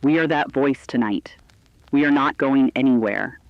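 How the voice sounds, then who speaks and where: A man's voice: mid-range voice, normal speed, no echo, lo-fi sound, natural voice. A man playing a reassuring, compassionate news co-anchor, earnestly consoling listeners in a scripted broadcast. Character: reassuring, compassionate co-anchor comforting frightened audience.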